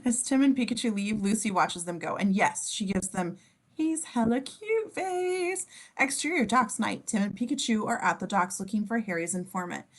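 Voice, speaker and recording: A woman's voice: medium pitch, expressive, moderate pace. A woman reading scene descriptions in a casual amateur script read-through, as the narrator.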